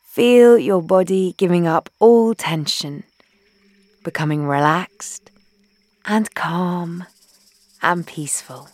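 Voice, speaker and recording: A woman leading a guided meditation in a soothing, gentle, unhurried way, leaving long pauses between phrases and softening into breathy endings. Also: on the low side, dry recording. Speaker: guided meditation leader, founder.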